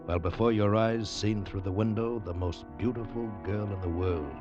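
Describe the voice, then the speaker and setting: A man's voice: lowish voice, normal speed. A man announcing with theatrical, suspenseful narration in a dramatic 1940s radio style. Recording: dull vintage radio recording. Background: dramatic instrumental music.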